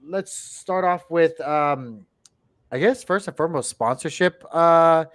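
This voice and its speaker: A man from Hawaii hosting a casual livestream chat from a home studio on a boom mic, laughing often and repeating himself. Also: slow pace, fairly bright tone. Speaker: host. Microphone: headset with boom mic.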